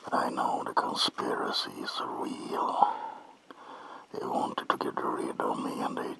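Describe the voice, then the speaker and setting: A man playing a melancholy, paranoid vampire ghost of an asylum in a breathy, theatrical ASMR whisper, close to the mic. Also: rumbling low, level tone, exaggerated voice, low-quality recording. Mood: paranoid, wounded. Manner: slow, brooding, theatrical. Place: small dim indoor room.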